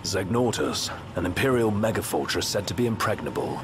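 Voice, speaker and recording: A man's voice: on the low side, animated, fairly quick, noisy background. A man playing an earnest, articulate strategist in a video game, formal and precise in his wording. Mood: earnest, measured. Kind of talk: video game drama acting. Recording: music behind the voice.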